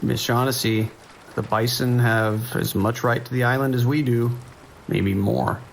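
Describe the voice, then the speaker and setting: A man playing a calm, wry sheriff's dispatcher with a dry, reassuring manner in an audio drama. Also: mid-range voice, measured pace, gravelly, a little hiss or hum. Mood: patient, reassuring. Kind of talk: naturalistic audio drama acting.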